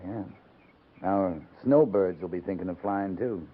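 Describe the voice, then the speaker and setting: An actor playing a wry, laconic frontier cavalry captain in a 1950s radio drama. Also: male voice, low voice, slightly rough, phone-quality sound. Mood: relaxed, wry. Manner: laconic, dry, unhurried. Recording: thin band-limited 1950s radio recording.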